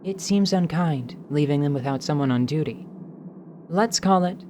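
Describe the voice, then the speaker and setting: A man voicing a wry, commanding adventurer with a dry, deliberate manner in a fantasy audio drama. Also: medium pitch, a little mellow, a little hiss or hum, close to an everyday voice. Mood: wry, composed.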